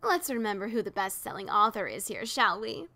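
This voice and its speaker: A woman's voice: mid-range voice, big pitch swings, brisk, gravelly, bright, forceful tone, exaggerated voice. A woman voicing a brash, boastful adventurer mare pony with a scratchy voice and a teasing manner. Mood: cocky. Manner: cocky, giggly teasing. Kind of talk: audiobook character reading.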